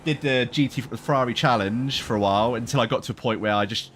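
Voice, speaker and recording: A man's voice: mid-range voice, fast talker, fairly bright tone, a little hiss or hum. A man chatting casually while sim racing with teammates, a bit stuffy from hay fever, rambling and laughing easily.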